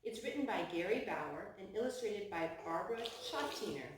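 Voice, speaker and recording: A woman hosting a children's storytime, reading picture books aloud in an expressive, sing-song way to a small child. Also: mid-range voice, moderate pace, reverberant, noisy background.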